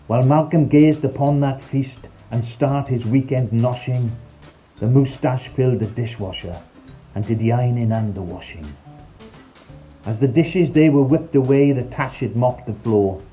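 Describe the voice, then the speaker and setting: A man's voice: medium pitch, dark tone, lo-fi sound. A man reading a scripted, rhyming children's story in a playful, theatrical storytelling manner. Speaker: storyteller, narrator. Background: background music.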